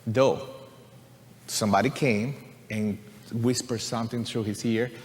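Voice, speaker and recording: A man's voice: mid-range voice, steady tone, unhurried. A man from Venezuela, a church musician giving an earnest, conversational personal testimony to a congregation, often saying "you know" and "right?". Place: church sanctuary. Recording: long reverb of a large church hall.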